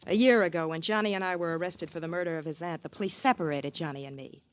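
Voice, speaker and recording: An actress playing a scornful, hard-boiled murderous wife, taunting and sardonic, in 1950s radio crime drama. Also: female voice, fairly low pitch, quick pace, natural voice. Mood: mocking.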